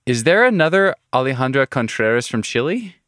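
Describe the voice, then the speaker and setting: A man's voice: medium pitch, sing-song, brisk, fairly bright tone, dry recording. Guy hosting a podcast, laughs a lot, dry close sound. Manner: friendly, laughs often, asks questions with repeated restarts. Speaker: podcast host. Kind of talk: casual interview.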